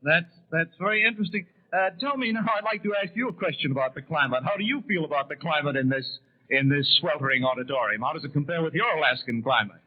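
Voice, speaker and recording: A man's voice: on the high side, fast talker. An American man conducting live 1930s radio news interviews with formal, courteous questions. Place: convention hall broadcast room. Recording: tinny narrowband 1930s radio broadcast.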